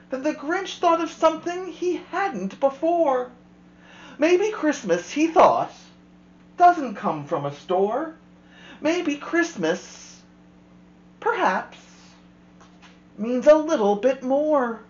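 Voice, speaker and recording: A woman host reading a children's rhyming picture book aloud with expressive, rhythmic delivery. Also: sits high, roomy sound, lo-fi sound. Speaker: storyteller host.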